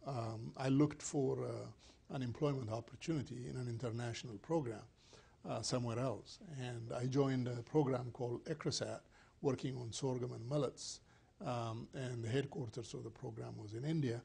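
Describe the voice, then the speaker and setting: An older man from Ethiopia, a scientist answering interview questions in a measured, thoughtful way with many fillers, recorded on a lapel mic in a small studio. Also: mid-range voice, fairly even intonation, gravelly, warm tone, slightly rough. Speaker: plant scientist guest. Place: small studio set indoors.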